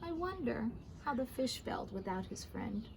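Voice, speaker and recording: Slow-paced, high-voiced woman reading a storybook. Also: big pitch swings, vocal fry, slight background noise. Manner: addresses young listeners with gentle commentary. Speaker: storybook reader. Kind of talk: expressive children's read-aloud with asides.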